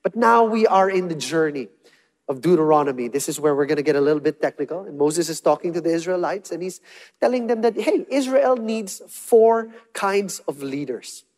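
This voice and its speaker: Male preacher, 30s, high voice, emphatic call-and-response delivery, big hall echo. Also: expressive, moderate pace. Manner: emphatic, swings between loud punchy lines and hushed soft ones. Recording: long hall reverb.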